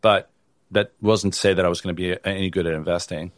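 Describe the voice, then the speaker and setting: A man from Italy answering interview questions in a low, loose conversational voice. He restarts phrases often and leans on "you know." The sound is dry and close. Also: fairly even intonation, fast talker, no room sound. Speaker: venture capital investor, guest.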